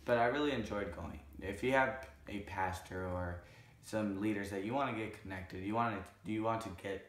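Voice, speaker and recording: Young man in his twenties, hoarse, very low voice, earnest rambling vlog talk in a roomy living room. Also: normal speed, a bit bright. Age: 20s. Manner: earnest, rambling with self-corrections. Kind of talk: casual vlog recap. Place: living room couch, indoors.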